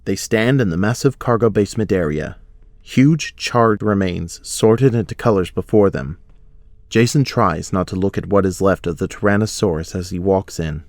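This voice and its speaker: A man's voice: sits low, moderate pace, darkish tone, no echo. A man reading scripted narration for an audio drama.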